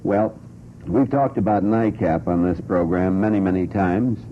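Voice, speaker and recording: A man hosting a radio talk show in a conversational, deliberate way, repeating and spelling out details for listeners. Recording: noisy, tinny vintage radio recording with rumble.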